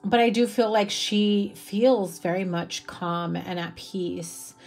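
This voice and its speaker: A young woman reads tarot cards at a table in a small indoor room, her voice slightly hoarse from a cough. She talks in a chatty, reassuring way, with plenty of fillers. Her pitch sits in the middle of the usual range for a woman. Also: slow pace, darkish tone, faint music behind. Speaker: tarot reader. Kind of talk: casual tarot reading to camera.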